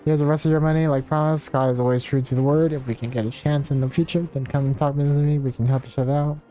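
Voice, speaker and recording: A man's voice: a little high, level tone, racing, dark tone, natural voice, poor audio. A man reading aloud the game lines of a boastful, money-minded boxing promoter in a self-important manner. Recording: game music behind.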